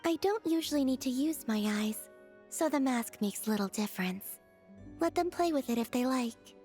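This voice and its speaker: Dreamy young moon goddess for a game, high soft breathy voice, slow and gentle, bit of music behind. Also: female voice, animated. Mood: serene, playful. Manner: unhurried, dreamy, formal phrasing. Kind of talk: scripted video game dialogue.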